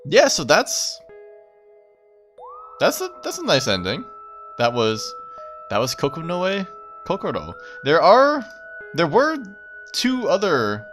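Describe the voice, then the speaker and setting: A man's voice: mid-range voice, a bit bright. A man streaming a game, reading aloud and giving casual commentary.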